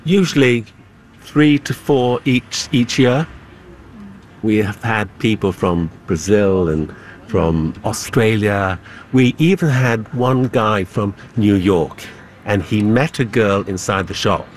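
A man who owns a bookshop, telling anecdotes in a warm way. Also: lowish voice, lively intonation, takes it slowly, slight background noise. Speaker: bookshop owner.

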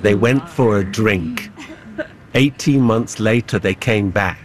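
A man who owns a bookshop, telling anecdotes in a warm way. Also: fairly low pitch, slow pace. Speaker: bookshop owner.

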